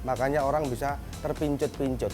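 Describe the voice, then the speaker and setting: A man's voice: medium pitch, fairly even intonation, brisk, darkish tone, soft background music. A man from Central Java, a restaurant owner explaining things informally to camera. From Magelang, Central Java, Indonesia. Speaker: restaurant owner being featured.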